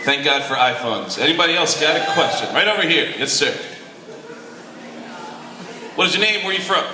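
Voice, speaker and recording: A man moderating a fan Q&A, upbeat and quick with banter as he calls on the audience. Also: a little high, lively intonation. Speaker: panel moderator. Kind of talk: live event hosting. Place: event hall on a cruise ship. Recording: echoey room with crowd noise.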